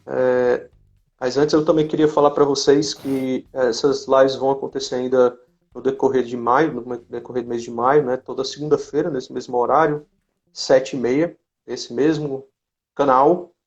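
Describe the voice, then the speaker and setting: Creaky-voiced man hosting a casual live chat. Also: mid-range voice, flat delivery, normal speed, a little mellow. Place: remote live video chat on social media. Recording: dull, lo-fi live-stream audio.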